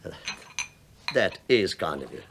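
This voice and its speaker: An actor playing an older British police inspector in a radio play, falsely humble, rambling and needling with mock politeness. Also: male voice, deep voice, big pitch swings, unhurried, gravelly, fairly bright tone, slight background noise, exaggerated voice. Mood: sly, mock-polite.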